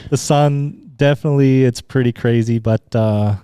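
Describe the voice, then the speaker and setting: An easygoing young man from Utah chatting as a guest, agreeable and a little hesitant. His voice is smooth and mellow, and the recording is close and dry. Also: mid-range voice, normal speed, no room sound. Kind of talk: casual chat.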